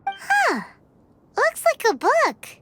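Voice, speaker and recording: A woman voicing a bubbly, childlike fairy companion in a video game, with a squeaky voice and a perky, chatty, curious manner. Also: wildly swooping pitch, drawn-out words, heavy background noise, exaggerated voice. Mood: excited. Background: music.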